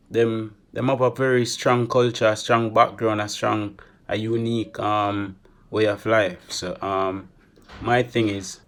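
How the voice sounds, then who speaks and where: A young man with a Jamaican accent talks straight to the camera in a loose, chatty vlog. His voice sits fairly low. He keeps it animated and drops Creole phrases into his English as he goes. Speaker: travel vlogger. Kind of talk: casual vlog monologue.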